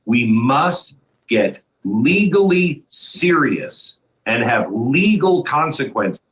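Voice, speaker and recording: A man's voice: mid-range voice, sing-song, slow pace, fairly bright tone, rough recording. A man speaking on a political talk show in an earnest, urgent manner.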